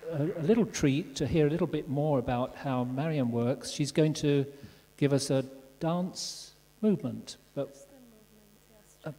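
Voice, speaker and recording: A man hosts a conference session and courteously asks his guest leading questions. His voice is mid-pitched and mellow. The long reverb of a large hall carries around him. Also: vocal fry. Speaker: interviewer and session host. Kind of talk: conference interview.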